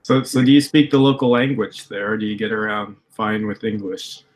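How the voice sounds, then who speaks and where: Man, fairly low pitch, inquisitive, informal, casual team meeting chat. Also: moderate pace. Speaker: team member asking questions.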